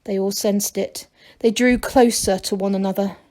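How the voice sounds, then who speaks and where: A woman reading a children's story aloud like a teacher, in expressive narration. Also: mid-range voice, moderate pace.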